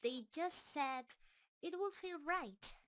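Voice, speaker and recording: A woman acts out a gentle giant spider creature for an intimate roleplay audio. The voice is high and very unhurried, with a nervous, halting tenderness. It is doting and shy, talking softly to one beloved listener. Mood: tender, hesitant.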